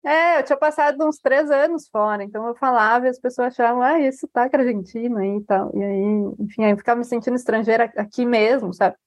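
A woman's voice: on the high side, quick pace, few pauses, no echo. A woman from Brazil, a writer chatting casually in an interview, rambling with many fillers. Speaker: author guest.

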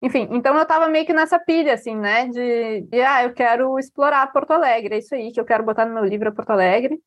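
A woman's voice: a little high, fast, dry recording, muffled recording. A woman from Brazil, a writer chatting casually in an interview, rambling with many fillers. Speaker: author guest.

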